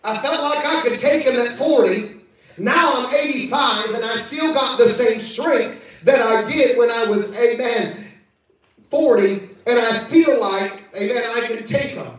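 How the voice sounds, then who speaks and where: A man with a high voice delivers a sermon, reading scripture and calling out to the congregation. His voice rings through a very echoey church, caught from well off the mic. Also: unhurried, fairly bright tone, recorded from a distance, a little hiss or hum. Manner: preaching with call-outs and scripture reading. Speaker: preacher. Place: church service.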